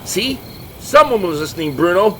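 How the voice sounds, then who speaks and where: A man's voice: sits high, sing-song, normal speed, sharp tone, slight background noise, full character voice, low-quality recording. A man playing an irritable, exasperated monster hunter who scolds his partners like a foul-mouthed know-it-all. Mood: exasperated, angry.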